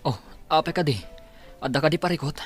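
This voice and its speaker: A man's voice: fairly high pitch, brisk, faint music behind, lightly acted. A man acting a helpful older-brother figure in an Ilocano radio comedy drama.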